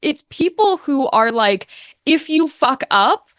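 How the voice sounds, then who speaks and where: A woman speaking as a guest in a casual interview, talking as an actor and game master, animated and given to acting out imagined quotes. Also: sits high, takes it slowly, no echo, clean studio sound.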